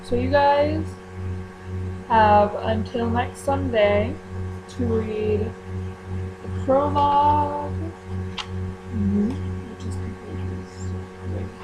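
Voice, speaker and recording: A chatty woman with a fairly high voice talks to her viewers in a loose, rambling way. She drifts off mid-thought and corrects herself as she goes. Loud music plays underneath her voice. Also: drawn-out words, long pauses, low-quality recording. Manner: chatty, rambling, frequent ums and self-corrections. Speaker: YouTuber running a book club. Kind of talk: casual chat to camera.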